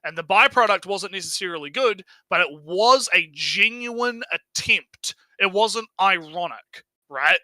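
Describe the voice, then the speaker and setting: A man's voice: high voice, expressive, a little slow, hardly any pauses, sharp tone, no room sound. A man giving casual, unscripted stream commentary, rambling and swearing, often tagging his sentences with "right?". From outside the United States.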